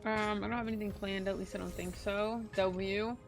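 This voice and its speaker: A woman's voice: mid-range voice, flat delivery, normal speed. A woman chatting casually and slangily on a game livestream. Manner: slangy, informal. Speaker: game streamer. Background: game music.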